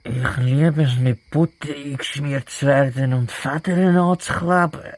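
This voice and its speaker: A man's voice: steady tone, no room sound, close to an everyday voice. A man with a Swiss German accent voicing an eccentric, giggling feathered bird-man who says cuckoo in a children's audio play. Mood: giggly, unashamed. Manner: slow, drawn-out, breaking into shrill cackles.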